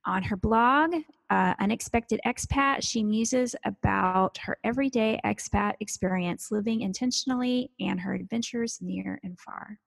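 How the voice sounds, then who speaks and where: A woman co-hosts a podcast with a fry-edged voice, recorded close and dry. Her delivery is conversational, informal and keen. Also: mid-range voice, unhurried, hardly any pauses, no echo, low-quality recording. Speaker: podcast co-host.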